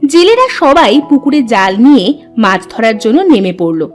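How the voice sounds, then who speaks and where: A woman narrates a cartoon fairy tale with a bright, animated lilt, her voice somewhat high. She tells the story plainly and clearly, with soft music under her. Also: moderate pace. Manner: lively storytelling.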